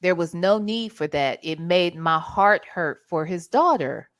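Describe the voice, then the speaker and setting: A woman's voice: fairly low pitch, expressive, measured pace. A woman hosting a livestream, giving casual, slangy, blunt commentary and reading out chat messages. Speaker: livestream host.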